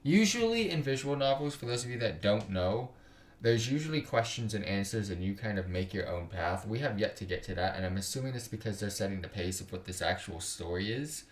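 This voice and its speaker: Male game streamer, young adult, lively low voice, casual read-aloud with joking asides, roomy home recording. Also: fairly quick. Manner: stumbles while reading aloud, slangy joking asides. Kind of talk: casual livestream, reading visual novel text aloud with side comments. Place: indoors at a computer.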